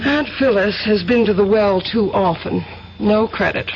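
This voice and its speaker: A woman's voice: mid-range voice, moderate pace, crowd noise, close to an everyday voice. A refined, worried woman played by an actress in an old radio drama. She speaks carefully and hesitantly, on a slightly dull vintage broadcast. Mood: concerned. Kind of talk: 1970s radio drama acting.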